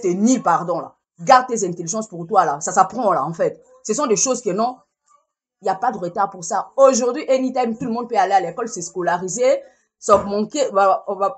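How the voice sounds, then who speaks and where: A woman's voice: medium pitch, slightly rough. A woman, delivering a heated, scolding social media rant and repeating herself to drive points home. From Congo.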